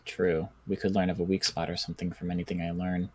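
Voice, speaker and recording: Low-voiced man playing a pondering zombie-research doctor. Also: completely flat delivery, fast talker, a little mellow, husky. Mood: businesslike. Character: measured, curious male doctor researching zombies in apocalypse. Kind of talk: improvised Minecraft zombie roleplay.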